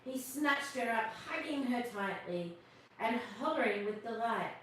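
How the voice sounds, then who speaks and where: A woman reading a picture book aloud to children as host, with expressive narration and asides to young listeners. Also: a little high, measured pace. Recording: distant, very echoey room, faint noise.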